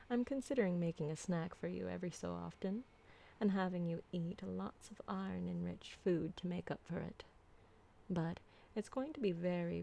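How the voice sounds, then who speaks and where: A woman playing an ancient, refined and doting female vampire in an intimate ASMR roleplay, soft-spoken and tender, with genteel, formal wording. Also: lowish voice, creaky.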